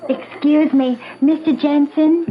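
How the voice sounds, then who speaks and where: An actress playing a polite, dutiful restaurant employee with a formal, deferential manner in an old-time radio drama. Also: female voice, sits high, steady tone, slow. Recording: muffled vintage radio recording.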